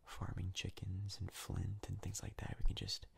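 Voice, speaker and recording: A man giving soft-spoken whispered ASMR game commentary in a breathy, husky voice, rambling hesitantly and repeating words. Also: low voice, monotone, normal speed. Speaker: gaming video host.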